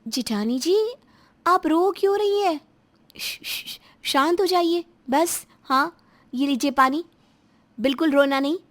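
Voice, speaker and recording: A woman as a high-voiced, earnest young daughter-in-law. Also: expressive, a little slow, fairly bright tone. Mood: concerned. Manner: earnest, pleading. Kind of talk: melodramatic Hindi audio story acting.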